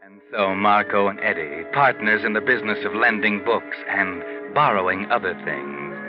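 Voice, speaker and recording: An actor playing a wry, ominous storyteller, narrating a 1940s radio mystery in a measured way. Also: male voice, fairly low pitch, loud music behind the voice, lightly acted. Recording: dull 1940s radio recording.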